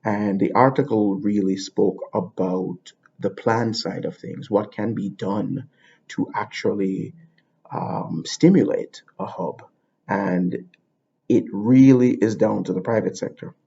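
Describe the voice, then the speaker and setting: A low-voiced man with a gravelly, husky edge talks through his ideas without a script. He thinks aloud and often loops back over his own words. The sound is dull and lo-fi. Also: slow pace, warm tone. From Jamaica. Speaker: podcast host. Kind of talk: unscripted explanatory monologue. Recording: dull lo-fi recording.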